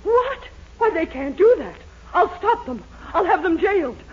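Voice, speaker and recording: A woman's voice: extremely high pitch, big pitch swings, moderate pace. An actress playing a domineering, melodramatic middle-aged wife and mother in a 1940s radio sitcom. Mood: outraged. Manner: domineering, scolding, theatrical. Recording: noisy, muffled 1940s radio recording.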